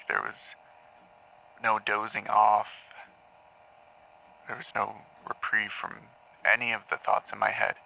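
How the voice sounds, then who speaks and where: A man playing a troubled patient in an audio drama, haltingly confessing dark memories to a therapist, stammering and repeating words. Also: fairly low pitch, creaky, a little hiss or hum, exaggerated voice, low-quality recording. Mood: anxious, haunted. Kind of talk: audio drama acting, intimate confessional monologue.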